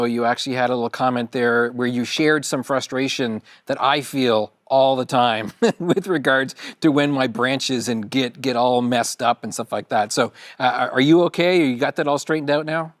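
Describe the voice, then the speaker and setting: A man's voice: medium pitch, steady tone, normal speed, few pauses, no room sound. A man co-hosting a live tech event stream, easygoing and chatty, with plenty of fillers. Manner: easygoing, filler-heavy chat. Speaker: event co-host.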